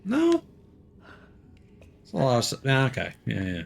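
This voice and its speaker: Creaky-voiced man streaming a video game. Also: mid-range voice, huge pitch swings, moderate pace. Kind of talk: casual video game live-stream commentary.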